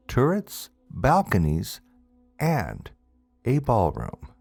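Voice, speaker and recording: A man with a fairly low voice tells a scripted ghost story at a very slow, deliberate pace, pausing for drama. His delivery swings widely in pitch, over a music bed. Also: a little mellow, no echo. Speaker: storyteller, narrator. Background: background music.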